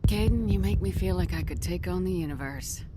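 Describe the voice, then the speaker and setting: An actress playing a resolute, frank military commander in a video game, measured and determined. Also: female voice, lowish voice, very noisy, lightly acted. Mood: intimate, wry. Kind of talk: cinematic video game dialogue.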